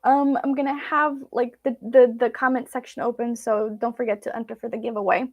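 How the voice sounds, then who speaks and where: A woman's voice: a little high, level tone, a little fast. A woman from Belarus, a native Russian speaker, chatting casually and informally with viewers as she hosts a live Q&A. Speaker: vlog host.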